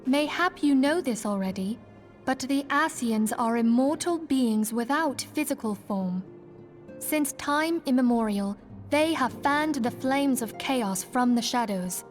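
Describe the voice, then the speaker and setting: High-voiced gracious female leader, fantasy game cutscene, formal and earnest, faint music behind. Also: expressive. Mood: grave. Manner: formal, archaic, measured diction. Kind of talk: video game cutscene acting.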